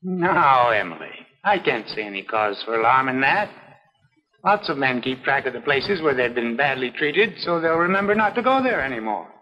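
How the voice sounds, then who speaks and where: An actor playing a reasonable, reassuring doctor in a 1950s educational film. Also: male voice, mid-range voice, big pitch swings, moderate pace, pushed and bright. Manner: soothing, reasonable. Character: calm, reassuring family doctor. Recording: dull reverberant old film soundtrack.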